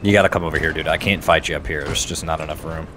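A man's voice: extremely low pitch, steady tone, very fast, very noisy. A man giving casual let's play commentary, muttering half to himself and half to the game. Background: video game sound.

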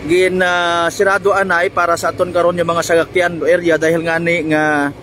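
Filipino man, field reporter, slightly high voice, halting live commentary, outdoor roadside, noticeable vehicle noise. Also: level tone, a bit bright. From Philippines. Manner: improvised, halting, addresses viewers directly. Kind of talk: live on-scene news commentary. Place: outdoors on a road at a fire scene.

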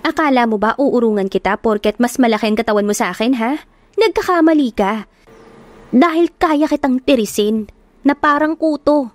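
A woman voicing a feisty, hot-tempered young woman who scolds and shouts in a sharp, quick voice. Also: a little high, animated, no room sound, voice pushed up, lightly acted. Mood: angry, mocking.

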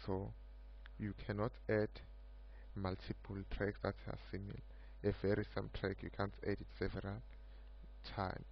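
A man giving an unscripted screen-recorded software demo of his own project, speaking haltingly in short broken phrases. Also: fairly low pitch, completely flat delivery, gravelly. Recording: muffled lo-fi mic with background hum.

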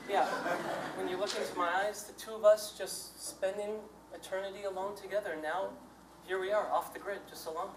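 A man's voice: sits high, steady tone, close to an everyday voice. A man playing a jilted survivalist husband, echoey and distant. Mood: dreamy, content. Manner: halting, repeating himself, flaring into exasperation. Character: jilted, boastful survivalist husband, clinging and indignant. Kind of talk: live two-person comedy stage sketch. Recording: echoey stage, distant mic, faint noise.

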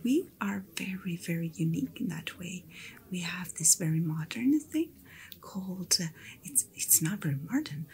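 A woman playing an attentive, friendly fantasy inn hostess in a gentle, whispered ASMR roleplay. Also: on the low side, expressive. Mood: soft, attentive. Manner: gentle, unhurried, chatty personal attention.

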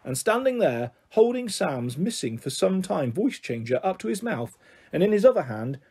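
High, lively male storybook reader. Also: moderate pace, slightly rough. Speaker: storyteller reading a children's novel. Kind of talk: expressive storybook narration.

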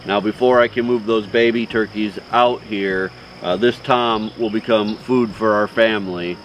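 A man's voice: on the low side, fairly even intonation, a little slow, fairly bright tone, slight background noise. A man in his forties hosting a homestead vlog, speaking casually to camera outdoors on a farm.

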